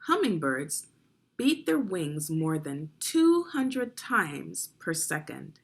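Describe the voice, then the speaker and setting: A woman's voice: low-pitched, big pitch swings, takes it slowly, breathy edge. A woman reading children's nonfiction aloud as a teacher, instructive and putting guiding questions to young listeners. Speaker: read-along narrator and reading teacher.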